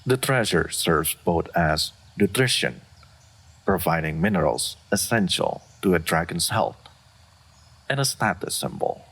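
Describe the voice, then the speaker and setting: A man playing a deep, gravelly, aloof dragon. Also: exaggerated voice. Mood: cool, reserved. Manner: formal, reserved, measured, deadpan. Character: aloof, lonesome male dragon in human form. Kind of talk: scripted fantasy roleplay audio addressed to the listener.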